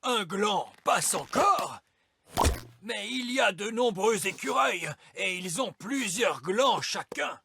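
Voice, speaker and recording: A wise old elf from a children's cartoon, with a creaky voice that swings widely in pitch. He speaks deliberately and emphatically, fussing and giving orders. There is clear noise behind the voice. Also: expressive, exaggerated voice. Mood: resigned, grumbling. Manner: theatrical, emphatic. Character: wise old male elf, bossy, fussy, excitable. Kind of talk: animated children's cartoon dialogue.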